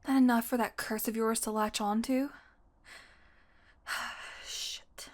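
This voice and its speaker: A sardonic, flirty vampire woman, played by a voice actress for an intimate audio roleplay. Her voice is fairly high and she takes her time, teasing like a casual predator. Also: steady tone, slow pace. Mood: strained, hungry, desperate.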